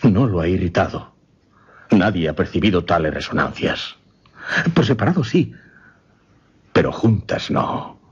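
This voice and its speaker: An actor playing a shaken gentleman recounting a supernatural horror in a radio play, grave, formal and halting. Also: low voice, sing-song, husky, heavily acted. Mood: grave dread. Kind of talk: suspense radio theatre acting. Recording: dull, muffled radio recording.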